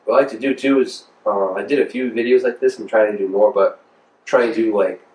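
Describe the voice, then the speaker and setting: A man's voice: fairly low pitch, steady tone, brisk, darkish tone, far from the mic. An American man in his thirties chatting casually in an interview as a music producer, informal, slangy and rambling. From Rhode Island, United States. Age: 30s. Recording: roomy, echoing, off the mic.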